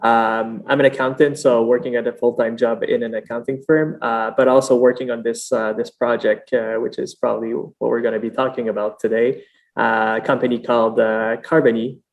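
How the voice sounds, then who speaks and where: Gravelly French-Canadian man in an interview. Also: mid-range voice, little pitch movement, fairly quick. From Montreal, Canada. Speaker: podcast guest, startup founder. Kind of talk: casual interview talk.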